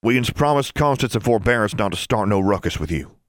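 A stern teenage backwoods wizard from a storybook, acted by a man. He speaks loudly, pitched up and domineering. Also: expressive, a little fast, dry recording, close to an everyday voice. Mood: stern, accusing. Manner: loud, domineering, rustic dialect.

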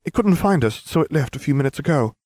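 A man voicing an eager, know-it-all schoolgirl who explains things rapidly and earnestly. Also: fairly high pitch, lively intonation, darkish tone, no echo, clean studio sound, pitched above the performer's own voice.

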